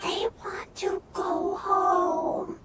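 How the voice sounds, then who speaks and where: Tiny squeaky, slow creature. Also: female voice, flat delivery, loud music behind the voice, exaggerated voice. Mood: wistful. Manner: halting, drawn-out, clipped grammar. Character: tiny, innocent fungus creature speaking broken, simple sentences. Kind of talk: scripted fantasy game dialogue.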